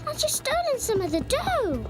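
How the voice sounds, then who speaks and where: A woman voicing an excitable, tattling little kitten in an animated storybook. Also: extremely high pitch, moderate pace. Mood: alarmed. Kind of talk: animated storybook character acting. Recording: loud music behind the voice.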